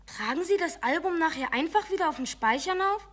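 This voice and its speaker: A woman's voice: very high voice, animated, brisk, a bit bright. A woman playing an eager young boy with a Bavarian accent in a children's radio play. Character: eager young boy, keen stamp collector.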